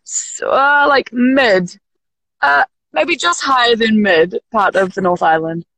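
A young woman with a New Zealand accent, a podcast guest talking casually over a video call in a rambling, halting way and laughing easily. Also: fairly high pitch, a bit bright, no room sound, low-quality recording. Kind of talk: casual interview.

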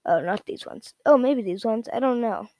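A woman giving a casual, unscripted product rundown in a rambling, repetitive, halting way. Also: on the high side, expressive, darkish tone, no echo. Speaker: product reviewer.

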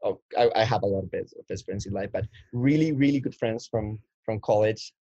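A man's voice: fairly low pitch, fairly quick, dark tone, husky, no room sound. Young man from Chile, twenties, rambling guest storyteller, muffled close sound. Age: 20s. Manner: rambling, many restarts and fillers. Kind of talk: casual interview storytelling. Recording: dull lo-fi dry sound.

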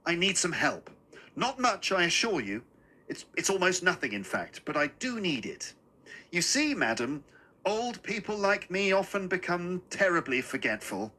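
A man voicing a gentle, forgetful little old gentleman in a story, pleading in a courteous, old-fashioned and flustered manner. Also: high-pitched, sing-song, normal speed, voice pushed up, heavily acted, rough recording. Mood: apologetic, pleading.

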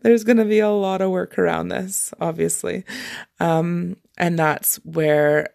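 Podcast coach, medium pitch, noticeable vocal fry, informal encouraging delivery, emphatic repetition. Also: fairly even intonation, a little slow, a little mellow. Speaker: life coach hosting a podcast. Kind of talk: informal solo podcast talk about coaching.

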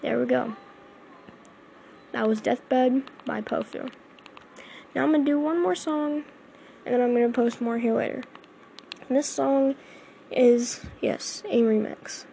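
A woman's voice: on the high side, measured pace, gravelly, long pauses, warm tone, slight background noise, rough recording. A woman chatting casually and rambling between song covers, her throat sore from singing the day before. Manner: chatty, rambling, lots of fillers. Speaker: amateur cover singer.

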